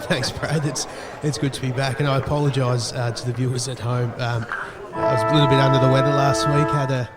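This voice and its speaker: A man with an Australian accent doing sports co-commentary, his voice croaky from a cold, hesitant with many ums and restarts. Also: medium pitch, little pitch movement, a little fast, distant mic, loud music behind the voice. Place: outdoor football ground. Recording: roomy outdoor commentary with crowd.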